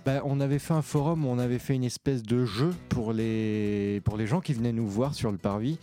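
A man's voice: mid-range voice, moderate pace, mellow, soft background music. A young man giving a casual, explanatory account in an informal documentary testimony. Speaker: participant in a theatre occupation.

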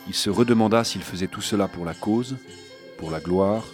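Deep-voiced man narrating a thriller. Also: normal speed, darkish tone, faint music behind. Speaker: audiobook narrator. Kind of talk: scripted literary narration of a thriller.

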